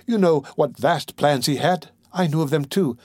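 Male narrator as a bereaved young woman, raised pitch, impassioned, pleading, devoted, audiobook drama, dry sound. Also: moderate pace, darkish tone, dry recording, natural voice. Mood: mournful pleading. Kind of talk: dramatic audiobook character reading.

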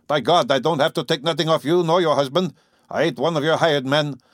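A man reading an audiobook, voicing a gruff, bullying old farmer who whines and mangles his consonants in a rough rural dialect. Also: fairly high pitch, brisk, no room sound, studio quality, voice pushed up, close to an everyday voice. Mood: furious.

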